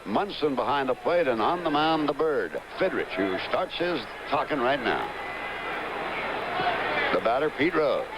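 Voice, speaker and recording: A man's voice: mid-range voice, unhurried. Man calling baseball over a noisy old broadcast. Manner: steady, stat-heavy calling of the action. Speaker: play-by-play announcer. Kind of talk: live baseball commentary. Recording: noisy 1970s broadcast recording.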